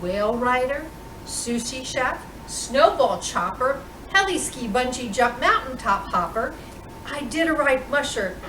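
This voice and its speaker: Female storytime reader, picture-book delivery, unhurried and expressive, captured in a roomy space from a distance. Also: sits high. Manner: expressive, deliberate storytelling with dramatic pauses. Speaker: storytime reader playing Mrs. Claus. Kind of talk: reading a children's picture book aloud. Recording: roomy, distant microphone with clear background noise.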